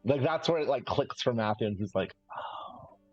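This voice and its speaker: A man improvising story narration as a player in a live tabletop roleplaying game, with halting, searching, thoughtful phrasing. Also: medium pitch, animated, dull audio, faint music behind.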